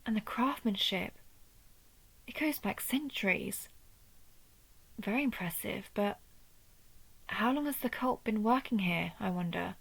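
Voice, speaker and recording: Woman acting a gravel-edged, brooding vampire huntress. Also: medium pitch, measured pace, long pauses, rough recording. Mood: hushed awe. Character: injured female vampire hunter of vampires, protective, proud, wry. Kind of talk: scripted audio roleplay addressed to the listener.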